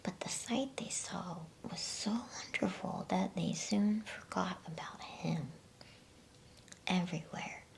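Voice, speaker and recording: Slow whispering woman reading ASMR bedtime stories. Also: fairly low pitch. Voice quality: breathy unvoiced whisper. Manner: gentle, slow, hushed delivery.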